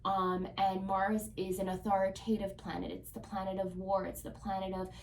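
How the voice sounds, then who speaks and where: A woman's voice: medium pitch, level tone, normal speed, creaky, darkish tone, far from the mic, a little hiss or hum. Congested woman in an echoey room. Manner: chatty, with frequent ums and likes. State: congested from a cold. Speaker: tarot reader. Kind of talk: casual tarot reading vlog.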